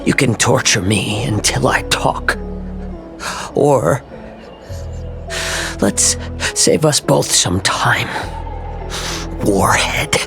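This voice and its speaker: A calm, gravelly captive healer. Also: male voice, medium pitch. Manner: calm, measured, bargaining. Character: calm male healer held hostage. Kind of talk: video game cutscene acting. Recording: game cutscene with music behind.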